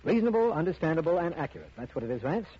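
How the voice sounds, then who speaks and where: An actor playing a patient district attorney in a 1940s radio drama, formal and dryly wry. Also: male voice, fairly high pitch, big pitch swings, racing, breathy edge. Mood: businesslike. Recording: muffled, noisy old radio recording.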